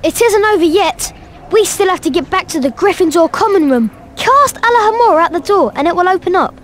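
A woman's voice: extremely high pitch, expressive, brisk, a little hiss or hum. A woman playing an anxious, plain-spoken young schoolboy sidekick in a video game, with a British accent and a grumbling, dramatic, casual manner. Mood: wary.

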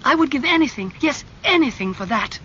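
A vain, impassioned young man, as an audiobook reader plays him, his voice raised and swinging widely as he pleads. The sound is slightly muffled over a faint rumble. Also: sing-song, moderate pace, pushed and bright. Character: beautiful young man, vain and desperate about aging.

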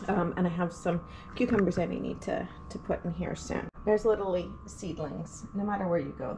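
A woman's voice: lowish voice, a little mellow. A woman in her thirties hosting a gardening channel, giving a casual, upbeat tour of her indoor plants. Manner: chatty and upbeat, walking through her plants. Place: house interior, rooms and furnace room. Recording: noisy home room with furnace hum.

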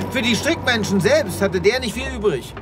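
A man's voice: high-pitched, lively intonation, unhurried, over loud music. A man playing a composed, courteous space vet with a formal, avuncular, dry manner in a comic radio play. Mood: amused. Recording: noisy sound-effect ambience behind.

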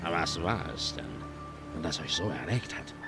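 An actor playing a hot-tempered, greedy and scheming gang leader in an audio drama, theatrical and drawn-out. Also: male voice, low-pitched, animated, over loud music, full character voice. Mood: sly, hushed.

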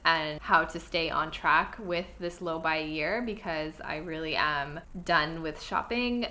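A woman's voice: low voice, measured pace, sharp tone. A young woman talking to camera at home in an earnest, chatty way, a little congested from a cold. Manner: earnest, chatty, lots of fillers. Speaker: style vlogger. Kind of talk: casual talk to camera. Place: home room with clothing rack.

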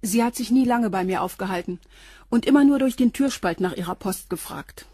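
An actress plays a radio drama concierge of about forty. Her medium-pitched voice is husky, and she stays reserved and matter-of-fact. The sound is dry and close. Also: fairly quick, mellow, no echo, natural voice. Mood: guarded. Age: middle-aged. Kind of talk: German radio crime drama acting.

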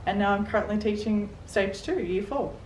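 A young Australian woman, a primary teacher, speaking calmly and matter-of-factly to camera. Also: medium pitch, normal speed, a little hiss or hum. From South Coast of New South Wales, Australia. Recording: distant mic, echoey room, faint music.

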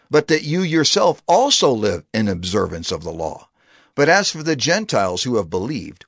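An actor playing a concerned, authoritative church elder, giving earnest, deliberate instruction in an audio Bible drama. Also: male voice, mid-range voice, no echo, clean studio sound.

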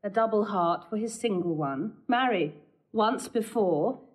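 A woman acting a sharp-tongued, merry noblewoman in a Shakespeare audio drama. Her voice is medium in pitch, and her delivery is classical and witty. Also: measured pace, close to an everyday voice. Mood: wry.

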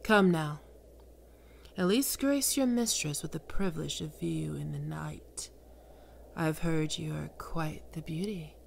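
A woman voicing a seductive, imperious female demon queen, speaking slowly in sultry whispers. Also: sits low, vocal fry, a little hiss or hum, full character voice. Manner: slow, sultry, domineering, often whispering. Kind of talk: ASMR roleplay audio drama.

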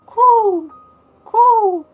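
Woman as a storybook pigeon, tiny bird, very high pitch, cooing, fluting, earnest, music behind. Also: expressive, unhurried, phone-quality sound. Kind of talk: storybook character voice.

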